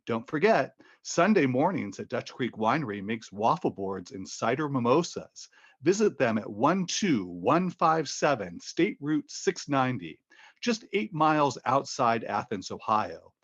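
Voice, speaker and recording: A man reading a scripted radio sponsor advertisement in a promotional, measured announcer's manner. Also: mid-range voice, highs cut off.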